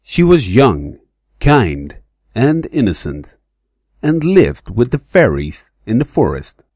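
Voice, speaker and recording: A man playing a solemn storybook narrator, telling a fairy tale in a measured way. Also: lowish voice, huge pitch swings, a little mellow, no echo, clean studio sound, heavily acted.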